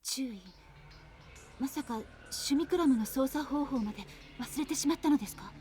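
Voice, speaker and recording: A woman voicing a serious, polite young military aide in a Japanese visual novel, speaking formally and calmly. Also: sits high, fast, natural voice. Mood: concerned, formal. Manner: formal, polite, calm, matter-of-fact. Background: clear background music.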